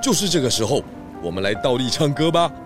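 A man playing a confident, thoughtful pig detective in a children's audio drama, explaining clues deliberately. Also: mid-range voice, very animated, over loud music, lightly acted. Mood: excited, discovering.

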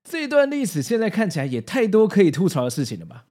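A man's voice: high voice, wildly swooping pitch, quick pace, a little mellow. A man with a Taiwanese Mandarin accent, hosting a podcast with narration and casual commentary. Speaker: podcast host.

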